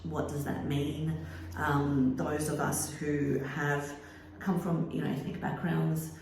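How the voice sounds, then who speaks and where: An Australian woman, an author and teacher, answering interview questions thoughtfully, with many pauses and ums. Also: low voice, little pitch movement, vocal fry, a little mellow, slight background noise. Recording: echoey room.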